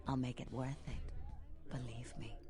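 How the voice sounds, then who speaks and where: An actress voicing a seductive, melancholic female vampire nightclub owner in a video game, with a breathy, teasing, lingering delivery. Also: a bass voice, flat delivery, a little slow, music in the background.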